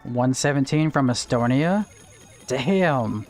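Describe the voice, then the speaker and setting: A man's voice: medium pitch, expressive, slow pace, soft background music. A young man chatting casually on a livestream, greeting viewers and explaining game items as he plays. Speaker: streamer. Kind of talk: casual livestream chat while gaming.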